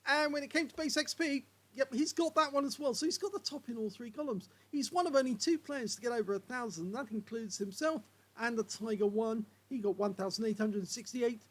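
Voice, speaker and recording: A hoarse man commentating on game replays in a chatty way, filling pauses with uh and um. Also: very high voice, fairly bright tone.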